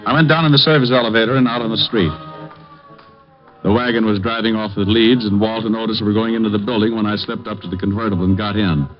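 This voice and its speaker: An actor playing a wisecracking hard-boiled private eye. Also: male voice, lowish voice, fairly even intonation, fast talker, lightly acted. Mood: narrating. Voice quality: dry, wry delivery. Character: wisecracking male private eye, cool and flirtatious. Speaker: detective and narrator. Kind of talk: 1950s radio drama acting with first-person narration. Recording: dull old radio broadcast with music.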